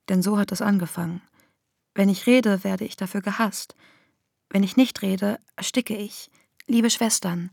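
Radio drama: embattled woman activist, polemical and biting, creaky delivery, very dry close sound. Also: mid-range voice, a little mellow, dry recording. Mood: defiant, weary. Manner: polemical, sardonic. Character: embattled, defiant feminist internet activist, woman. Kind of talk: radio drama, manifesto monologue.

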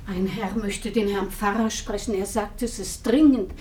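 An actress in a German radio play playing a dutiful rectory servant, formal and deferential. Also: female voice, medium pitch, fairly even intonation, normal speed, dark tone, natural voice. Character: dutiful female rectory servant announcing a visitor. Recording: distant, noisy room pickup.

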